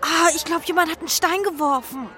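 A woman's voice: very high voice, a little fast, a crowd in the background. An actress playing a naive, timid young female racing pigeon in a children's radio play, speaking hesitantly. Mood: hurt.

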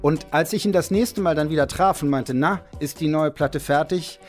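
A man's voice: on the high side, normal speed, faint music behind. A man recounting and explaining in a conversational way, as an interviewee. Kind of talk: spoken anecdote and explanation about music mixing.

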